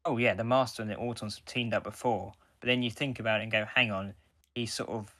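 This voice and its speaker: A man's voice: on the low side, fairly even intonation, fairly bright tone. A man co-hosting a podcast, chatting enthusiastically as a fan and often doubling back on his words. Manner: enthusiastic, halting with repeated words. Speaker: podcast co-host. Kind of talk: casual fan discussion.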